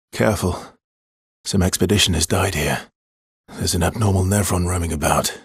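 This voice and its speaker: Male game hero: weary, guarded expeditioner with quiet regret. Very raspy, low voice, understated and brooding. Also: no echo, clean studio sound, heavily acted. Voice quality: husky, breathy. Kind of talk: video game voice lines, dramatic acting.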